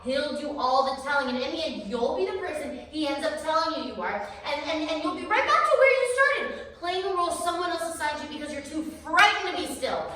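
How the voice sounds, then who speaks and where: An actress playing Tilda, an anxious, clinging psychiatric patient, with intense, emphatic, theatrical delivery. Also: female voice, high-pitched, lively intonation, fairly quick, hardly any pauses, pushed and bright. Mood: anguished, frantic. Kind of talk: theatrical stage monologue acting. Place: live stage showcase in a room. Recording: echoey room, distant mic, background noise.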